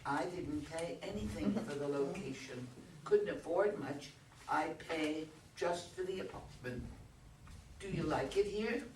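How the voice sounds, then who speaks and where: A woman playing a poor tenant who is wry, wheedling and pointed, speaking deliberately and insistently in a staged play reading. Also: sits low, animated, darkish tone. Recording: distant roomy live recording, background noise.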